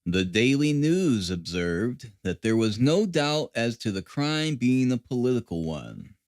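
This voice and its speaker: A man's voice: medium pitch, no room sound, studio quality. A man reading a classic detective novel aloud as scripted audiobook narration.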